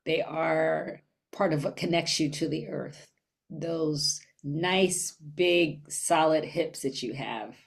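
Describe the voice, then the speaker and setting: A woman leading a guided meditation in a gentle, unhurried manner with long pauses. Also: deep voice, creaky.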